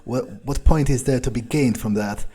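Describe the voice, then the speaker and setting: A man's voice: mid-range voice, moderate pace, mellow, husky. A man thinking aloud in character as he plays a game, in an understated, musing way. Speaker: gameplay video creator.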